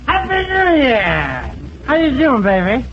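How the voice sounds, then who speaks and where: A man's voice: extremely high pitch, wildly swooping pitch, a little slow, over loud music, full character voice. An actor playing a brash, tipsy male nightclub patron in pushy, exaggerated old radio comedy style. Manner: pushy, exaggerated comic delivery. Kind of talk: 1940s radio comedy acting. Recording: dull, noisy old radio recording.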